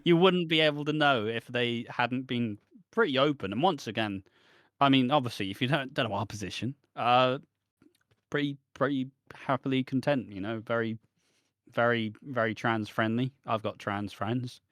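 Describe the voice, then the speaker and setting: A man's voice: mid-range voice, moderate pace, fairly bright tone, no echo, studio quality. A British man co-hosting a podcast in casual chat, rambling and opinionated with frequent restarts. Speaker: podcast co-host.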